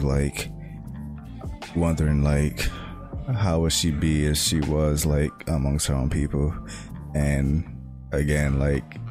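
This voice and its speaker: A young American man talking in a halting, reflective way, full of "like" fillers, in a casual monologue. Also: extremely low pitch. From United States. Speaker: speaker sharing personal reflections. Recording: guitar music playing underneath.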